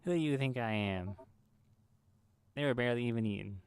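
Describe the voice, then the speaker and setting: A man's voice: medium pitch, a little fast, a little hiss or hum. A man hosting a gaming video, reading visual novel dialogue aloud and now and then stumbling over the words.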